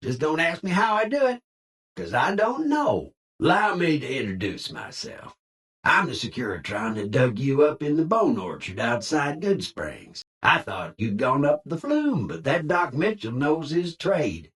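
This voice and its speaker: An actor voicing a cheerful cowboy robot greeter in a video game, with a folksy American cowboy drawl and hearty Old West slang. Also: male voice, on the high side, sing-song, a little slow, a bit bright, distant mic. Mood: chummy, boastful. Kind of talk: video game character lines.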